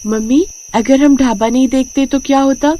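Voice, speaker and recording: Woman playing a high, soft-toned innocent little girl. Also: fairly even intonation, moderate pace, natural voice. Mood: uneasy, curious. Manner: innocent, childlike. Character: little girl, innocent, chatty, cheeky daughter. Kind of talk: cartoon moral story acting.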